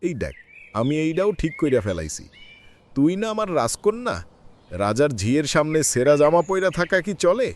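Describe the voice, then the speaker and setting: A man with a rural Bangladeshi accent playing a poor, ageing labourer and doting father in a cartoon, folksy and expressive. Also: fairly high pitch, moderate pace. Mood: tender. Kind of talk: cartoon voice acting. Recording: faint background noise with birdsong.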